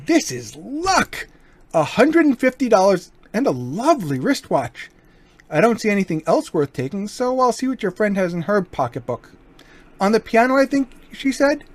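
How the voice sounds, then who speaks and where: A man playing a suave, menacing gentleman burglar in an old-time radio crime drama, mock-courteous and taunting. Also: sits high, big pitch swings, normal speed. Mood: smug, taunting.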